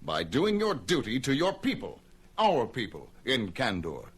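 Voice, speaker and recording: A man playing a resolute superhero and newsman in a cartoon, calm, firm and authoritative. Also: mid-range voice, measured pace, husky, heavily acted. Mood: firm, dutiful. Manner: firm, declarative.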